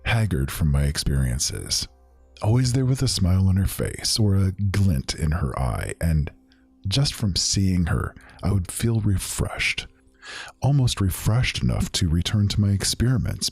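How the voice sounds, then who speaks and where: A man playing a wistful old mage, recounting his past in formal, archaic storytelling with a rough voice. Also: sits low, big pitch swings, a little slow, a little mellow, dry recording, faint music behind, full character voice. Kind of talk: fantasy audio drama monologue.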